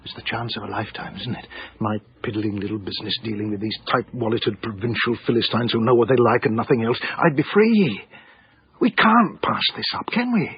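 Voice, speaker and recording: An actor playing an anxious, earnest small-time English art dealer in a radio drama, hesitant and self-effacing, with an English accent. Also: male voice, lowish voice, animated, a little fast, breathy edge, dry recording, muffled recording, full character voice. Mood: pleading. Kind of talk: radio drama acting and first-person narration.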